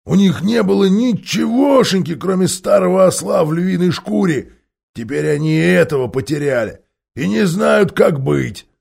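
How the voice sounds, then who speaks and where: A man voicing a rough, jeering dwarf leader in an audiobook, shouting loudly. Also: high-pitched, animated, moderate pace, pitched above the performer's own voice, full character voice.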